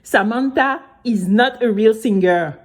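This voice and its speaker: A woman voicing a tearful, apologetic female production assistant in an audio story. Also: fairly high pitch. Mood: tearful, guilty. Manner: weepy, slow confessing.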